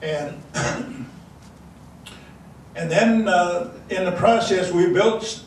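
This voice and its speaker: A man's voice: sits high, slow pace. An older man whose first language is Dakota, telling an audience about his early life in a rambling way, with many restarts and fillers. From South Dakota. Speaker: elder giving a talk. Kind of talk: storytelling speech to an audience. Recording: echoey room from far off, faint noise.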